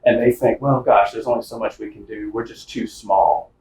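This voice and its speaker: A man's voice: lowish voice, steady tone, normal speed, darkish tone. A man hosting a casual interview podcast, chatty and folksy with many fillers and restarts. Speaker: podcast host and small-town mayor. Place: office conference room. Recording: roomy, distant mic with faint noise.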